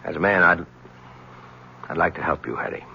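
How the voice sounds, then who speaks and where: A man's voice: deep voice, normal speed, gravelly, a little hiss or hum. An American actor playing a wry, punchy, smitten but skeptical male newspaper reporter in a 1970s radio drama. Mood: earnest, intense. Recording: muffled old radio broadcast recording.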